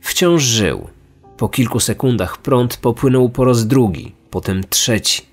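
A man delivering serious, measured scripted true-crime narration as a podcast host. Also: mid-range voice. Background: music.

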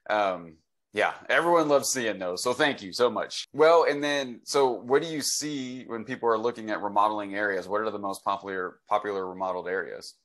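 A man in his forties with a fairly low voice hosts a relaxed interview from a home office video call. He speaks conversationally and with enthusiasm, like an agent advising clients. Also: brisk. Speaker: host, real estate agent. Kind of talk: casual interview talk. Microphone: computer mic on a video call.

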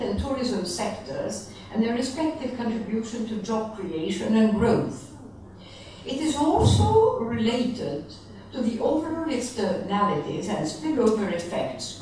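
A middle-aged woman reads a formal conference paper at a lectern, in a measured way with occasional self-corrections. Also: medium pitch, warm tone. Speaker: conference speaker reading a presentation. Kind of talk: reading a prepared conference paper. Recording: echoey conference hall, distant mic, faint background noise.

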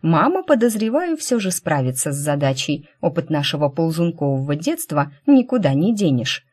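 A woman narrating a first-person fantasy novel as an audiobook, in an expressive scripted reading style. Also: sits low, moderate pace, breathy edge.

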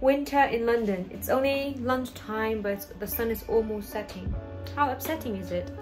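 High-voiced young woman vlogging. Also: moderate pace, rough recording. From Burma. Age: 20s. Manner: chatty, informal, addresses viewers as guys. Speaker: lifestyle vlogger. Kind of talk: casual chat to camera. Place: small flat room, indoors. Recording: music under the voice. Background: background music.

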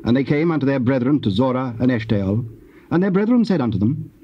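Male narrator, measured scripture reading, mid-pitched and husky, muffled cassette sound. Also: lively intonation, a little hiss or hum. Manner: measured, formal. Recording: dull old cassette audio.

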